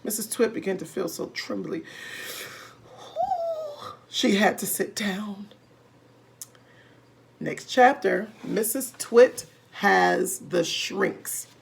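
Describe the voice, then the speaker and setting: A woman reading a children's story aloud in an animated, emphatic way, speaking directly to her listeners. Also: mid-range voice, takes it slowly, vocal fry, pauses often, slight background noise. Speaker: storybook reader. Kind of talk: expressive children's read-aloud narration.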